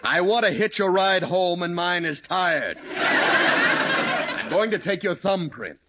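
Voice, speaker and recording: A man's voice: high voice, takes it slowly, crowd noise, full character voice. A man playing a put-upon license examiner in an old radio sitcom, wry and sarcastic, with fraying patience. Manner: deadpan, wry, increasingly exasperated. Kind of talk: 1940s-50s radio sitcom acting. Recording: noisy, dull old radio recording, audience.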